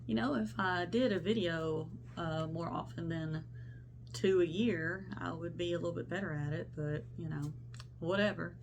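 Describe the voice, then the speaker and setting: Young woman rambling about her stitching, stuffy sick voice, kinda low, faint hum behind. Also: moderate pace, creaky. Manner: chatty, rambling, self-interrupting. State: congested from a sinus infection. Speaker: cross-stitch vlogger. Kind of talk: casual show-and-tell vlog.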